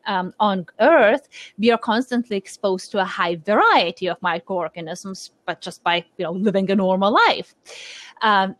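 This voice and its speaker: A woman's voice: mid-range voice, dry recording. Thoughtful Lithuanian-born woman scientist. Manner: thoughtful, hesitant with many fillers. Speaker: research scientist. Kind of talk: interview answers.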